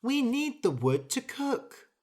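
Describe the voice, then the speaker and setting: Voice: sits high, big pitch swings, slow pace, darkish tone, pitched above the performer's own voice, heavily acted. A reader voicing an anxious little female mouse in a storybook, fussing and fretting. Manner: fretful, fussy.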